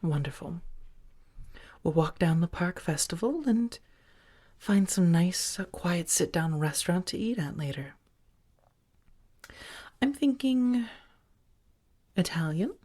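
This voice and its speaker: A woman playing an affectionate, protective, elegant vampire roommate in a soft-spoken ASMR roleplay, tender and gently theatrical with breathy murmurs. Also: lowish voice, lively intonation, slow, pauses often. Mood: delighted, flirty.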